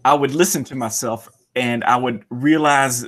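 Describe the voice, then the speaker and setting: A man's voice: medium pitch, normal speed, a bit bright. A man with a Southern US accent hosting an interview on a video call, folksy and jokey. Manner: folksy, jokey, laughs a lot. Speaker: interview host, video maker. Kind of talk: casual interview chat.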